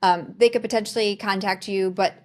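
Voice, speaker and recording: A woman's voice: mid-range voice, fairly even intonation, a little fast, darkish tone. A middle-aged American woman presenting as the host of a webinar. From United States. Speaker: webinar host, financial planner.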